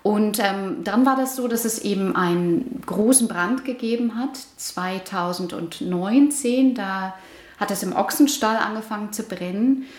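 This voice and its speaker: A woman in her forties, born in Iran, answering interview questions thoughtfully with many pauses and restarts. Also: medium pitch. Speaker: interviewee, housing project resident. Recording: roomy echo.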